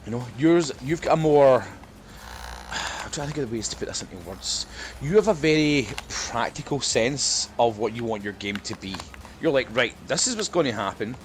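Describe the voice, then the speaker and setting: A man's voice: medium pitch, big pitch swings, normal speed. A man chatting casually with friends while gaming, in a rambling, self-deprecating way. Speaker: podcast guest, dungeon master. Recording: clearly noisy background.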